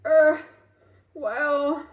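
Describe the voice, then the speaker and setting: A woman's voice: high voice, fairly even intonation, drawn-out words, reverberant, muffled recording. A woman voicing a flustered young schoolboy who stammers through his excuses. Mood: sheepish. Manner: stammering, earnest.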